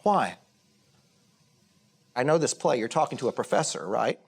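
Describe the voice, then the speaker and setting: A man's voice: mid-range voice, very animated, normal speed, creaky, breathy edge, exaggerated voice. A man playing a cocky, belittling acting teacher in a naturalistic comedy, talking in a brash, sarcastic, rambling way. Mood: impatient, sarcastic.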